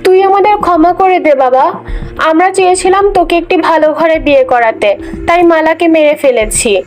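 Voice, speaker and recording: A cruel middle-aged mother played by a woman talks in a high voice, defensive and slippery. The delivery is cartoon melodrama over a bed of music. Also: a little fast. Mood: pleading. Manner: defensive, evasive. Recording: music under the voice.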